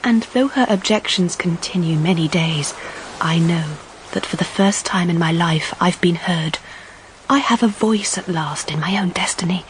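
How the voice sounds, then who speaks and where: A reflective Victorian young woman voiced by an actress narrates her own story. Her voice is lowish and composed, dryly wry, over faint background ambience. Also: normal speed, slight background noise, lightly acted, rough recording. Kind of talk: first-person scripted narration, audio drama.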